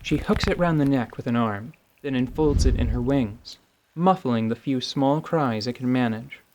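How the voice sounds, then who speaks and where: A man's voice: mid-range voice, normal speed, darkish tone, poor audio. A man narrating a scripted fantasy story in a radio theatre style. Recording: faint background noise.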